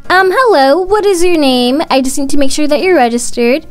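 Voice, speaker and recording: A woman's voice: sits high, normal speed, natural voice. A woman voicing a polite, businesslike stadium ticket attendant in a cartoon story. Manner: polite, procedural. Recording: music playing underneath.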